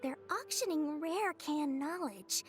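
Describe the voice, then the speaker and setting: A woman's voice: high voice, animated, slow. A woman voicing a small, crafty, greedy female merchant in a video game, with theatrical, wheedling sales patter. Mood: sly, knowing. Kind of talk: video game character dialogue. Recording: music behind the voice.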